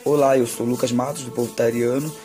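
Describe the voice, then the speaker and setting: A young man whose first language is Tucano, reading a short personal statement as a university student in a plain, measured way. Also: mid-range voice, level tone. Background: clear background music.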